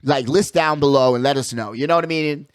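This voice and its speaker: A man's voice: fairly high pitch, fairly quick, clean tone, no echo, studio quality. A man hosting a sports show, talking casually with strong opinions in a slangy, emphatic, conversational way, often repeating his phrases.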